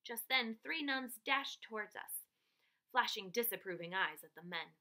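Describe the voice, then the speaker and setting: A woman reading a picture book aloud to children like a teacher, with expressive, dramatic emphasis on key phrases. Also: fairly high pitch, normal speed.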